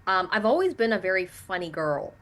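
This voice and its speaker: A young woman from Canada, a native Mandarin speaker, talks about her life as a guest on a chat show. Her voice sits at a middling pitch, and she chats in an animated, loose way, full of likes and ums. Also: fairly quick. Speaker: guest, actress and comedian. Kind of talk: casual interview chat.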